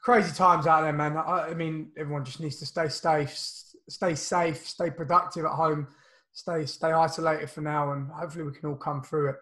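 Croaky thirties male podcast host on a webcam call; casual, filler-heavy, slightly high pitch. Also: level tone, normal speed, bright, forceful tone. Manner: chatty, loose, lots of fillers and restarts. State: croaky voice from illness. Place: home room, indoors. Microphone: video call webcam.

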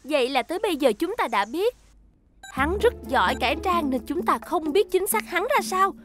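A capable young female rescue dispatcher, gives instructions in a very high cartoon voice. Music is clearly heard behind her. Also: animated, normal speed. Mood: serious. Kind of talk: cartoon dub.

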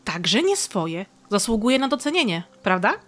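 An actress playing a cheeky, curious young squirrel, chatty and optimistic, in a children's audio play. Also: female voice, mid-range voice, very animated, normal speed, close to an everyday voice. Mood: cheerful.

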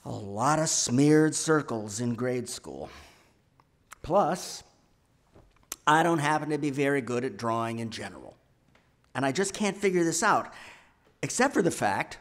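An older man giving a sermon from a lectern in a church hall, telling a personal story in measured phrases with pauses and stressed words. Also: medium pitch. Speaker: lay speaker giving a sermon. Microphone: gooseneck lectern microphone.

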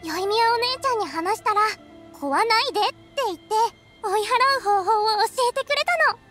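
A woman's voice: very high-pitched, lively intonation, brisk, a bit bright. An actress playing a sweet, trusting little village girl with childish phrasing in an anime game. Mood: earnest. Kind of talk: Japanese anime game voice acting. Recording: music behind the voice.

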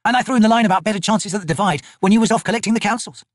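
A man voicing a gruff female soldier, brisk and sardonic in a raised voice. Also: high-pitched, dry recording, studio quality, voice pushed up.

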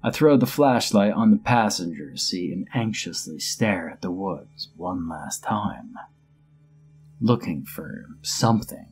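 A man narrating a first-person horror story in a steady storytelling voice with dry humor. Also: low-pitched, slow. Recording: music bed under the voice.

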